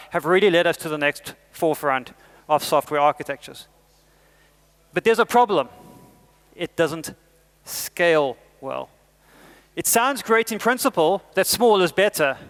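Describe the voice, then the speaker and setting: A middle-aged South African man delivers a conference talk in a fairly high voice. He leans on emphatic rises to stress key points. Frequent "uh" fillers break up his phrases. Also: fairly bright tone. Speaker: conference speaker.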